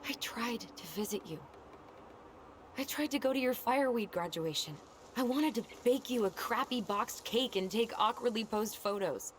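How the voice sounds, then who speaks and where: A woman's voice: fairly high pitch, normal speed, slight background noise, close to an everyday voice. A woman playing a guarded, exasperated young adult sister in naturalistic video game dialogue. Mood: hurt, exasperated.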